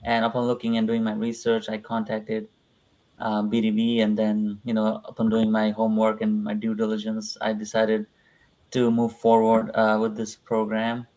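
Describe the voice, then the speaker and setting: A man's voice: lowish voice, completely flat delivery, normal speed, darkish tone, poor audio. A young man from Nepal, a guest in a live interview, talking casually and hesitantly with many fillers. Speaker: interview guest, visa client.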